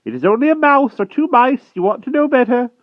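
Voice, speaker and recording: A man voicing a fussy, anxious old male wizard who cries out high and frantic. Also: animated, a little fast, no echo, muffled recording.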